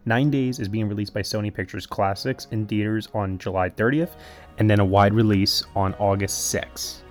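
A man's voice: fairly low pitch, steady tone, moderate pace, faint music behind. A man reading a podcast outro in a practiced, upbeat manner. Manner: upbeat, practiced announcer delivery.

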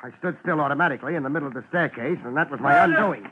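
A man's voice: fairly high pitch, very fast, natural voice. Man playing a wry detective on noisy old radio. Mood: wry, laid-back. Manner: dry, deadpan, wry. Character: wisecracking, world-weary, laid-back private investigator. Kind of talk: hard-boiled radio detective narration and dialogue. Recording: muffled, noisy 1950s radio broadcast.

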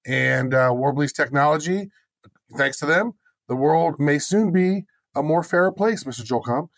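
A man co-hosting a podcast with a hoarse voice, rambling casually with lots of fillers. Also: fairly high pitch, moderate pace, a bit bright, no room sound. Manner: rambling, filler-heavy, laid-back. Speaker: podcast co-host.

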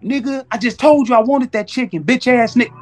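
A man's voice: very high-pitched, a little fast, soft background music. A man chatting casually as a podcast guest, telling anecdotes in slangy speech and acting out both sides of exchanges.

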